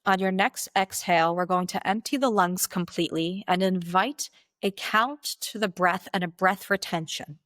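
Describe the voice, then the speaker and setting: A woman leading a guided meditation as its guide, speaking in slow, deliberate instructional phrases with pauses. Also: fairly low pitch, a bit bright, no echo, studio quality.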